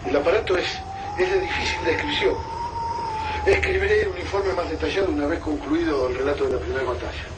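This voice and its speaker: A man's voice: on the high side, a little fast, natural voice. A man acting an earnest chronicler who reports on an alien battle in a radio play. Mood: earnest, hesitant. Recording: very noisy radio drama scene.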